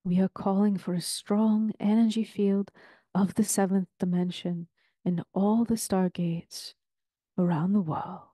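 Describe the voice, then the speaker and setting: Woman, guided meditation, very slow pace, soft dark timbre, ceremonial phrasing, long pauses, dry close sound. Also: medium pitch, fairly even intonation, no echo. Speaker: channeler leading a guided meditation.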